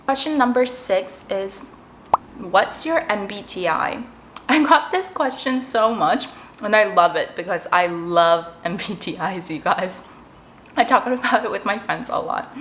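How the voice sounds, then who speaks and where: A woman's voice: mid-range voice, fairly bright tone, a little hiss or hum. Bubbly young woman chatting while eating. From Canada. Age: 20s. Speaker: vlogger. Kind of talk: casual mukbang chat.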